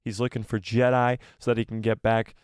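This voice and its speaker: A man's voice: medium pitch, little pitch movement, a little fast, clear, resonant tone, no room sound, clean studio sound. A man hosting a live fan stream, giving firm opinions, reading viewer replies aloud, and losing his voice. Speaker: host of a live fan show.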